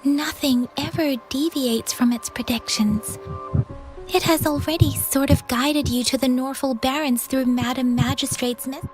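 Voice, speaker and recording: High-voiced, earnest young female guide. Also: fairly even intonation, unhurried, faint music behind, rough recording. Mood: helpful, earnest. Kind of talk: anime game voice acting.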